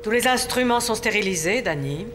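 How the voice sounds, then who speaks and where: A woman's voice: medium pitch, expressive, brisk, fairly bright tone, a little hiss or hum, close to an everyday voice. A woman playing a bossy animal surgeon in a children's cartoon, exacting and assertive. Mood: businesslike. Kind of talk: children's cartoon dubbing in French.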